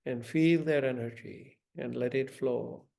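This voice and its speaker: Slow, gravelly man guiding a meditation. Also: medium pitch, steady tone. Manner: gentle, deliberate, instructing with long pauses. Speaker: meditation guide. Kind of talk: guided meditation.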